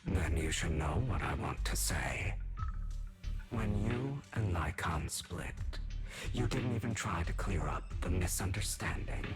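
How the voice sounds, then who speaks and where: A whispering sinister dark inner self. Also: male voice, low-pitched, expressive, measured pace. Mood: menacing. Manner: menacing, coaxing, half-whispered delivery. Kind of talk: video game cutscene voice acting. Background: game music.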